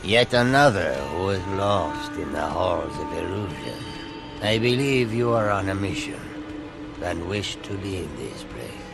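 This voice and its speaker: An actor playing a cryptic, solemn old temple spirit in a video game, speaking theatrically. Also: male voice, sits low, big pitch swings, unhurried, creaky, music in the background, exaggerated voice. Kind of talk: dramatic video game acting.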